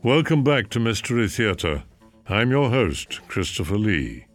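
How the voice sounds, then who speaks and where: A man introducing old radio shows as host, measured and formal. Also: fairly low pitch, very animated, no room sound, soft background music.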